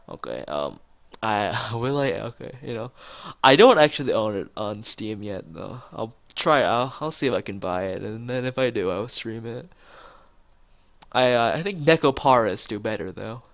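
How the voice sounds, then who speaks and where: A man's voice: mid-range voice, gravelly, a bit bright, no echo. A man streaming a visual novel, reading the narration aloud and chatting casually with joking asides to viewers.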